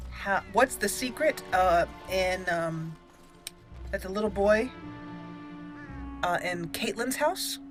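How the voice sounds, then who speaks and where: A woman's voice: mid-range voice, fairly bright tone. Chatty woman narrating gameplay, music behind. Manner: chatty, thinking aloud. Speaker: gaming channel host, let's play commentator. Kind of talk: casual gameplay commentary. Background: game music.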